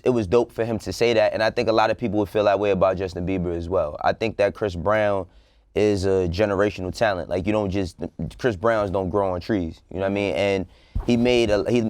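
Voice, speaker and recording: A man's voice: fairly low pitch, a little mellow, no echo. A man with an American accent chatting casually and emphatically as a talk show co-host, full of slang and swearing. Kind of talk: casual opinionated panel chat.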